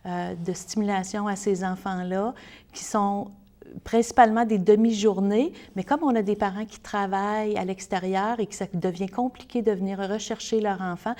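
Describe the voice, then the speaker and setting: A woman's voice: mid-range voice, steady tone, normal speed, mellow. Interview guest: woman, Québécois accent, mid-range voice, earnest spokesperson explaining a service. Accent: Québécois French.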